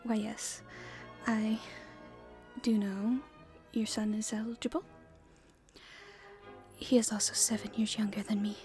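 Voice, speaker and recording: Female performer as proper but secretly rebellious princess, ASMR roleplay. Breathy, hushed, unhurried, hesitant courtly manners over faint music. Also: medium pitch, flat delivery, natural voice. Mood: polite, reserved. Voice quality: soft, breathy, often whispered. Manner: formal, polite, hesitant. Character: proper young princess at her debut, stifled, secretly rebellious.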